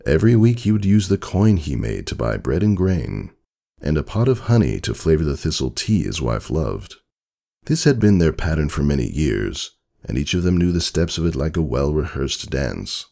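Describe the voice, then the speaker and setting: Male narrator for a dramatic fable: extremely low, expressive pitch movement, measured and solemn delivery. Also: gravelly.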